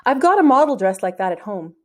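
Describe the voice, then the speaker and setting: A woman's voice: mid-range voice, sing-song, fast, natural voice. A woman reading a storybook as a casual, slightly sheepish schoolboy with an offhand, reassuring way of talking. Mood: matter-of-fact.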